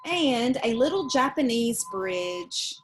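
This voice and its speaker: Higher-voiced woman reading a children's storybook. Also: takes it slowly, slight background noise. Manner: animated reading, explaining pictures to young listeners. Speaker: storybook reader. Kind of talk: expressive children's read-aloud with casual asides.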